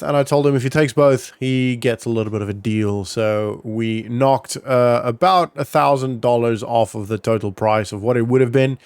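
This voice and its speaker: A man's voice: medium pitch, a little mellow, clear, resonant tone, no echo. A man narrating a roleplay gaming vlog in a casual, rambling way, as if thinking aloud. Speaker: gaming vlogger.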